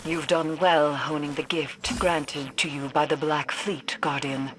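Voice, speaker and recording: A woman's voice: low voice, steady tone, a little slow. A woman playing a grave, cryptic female mystic who guides warriors in a game, speaking formally and theatrically. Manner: solemn, formal, ominous. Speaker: mission guide. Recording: noisy game sound effects behind.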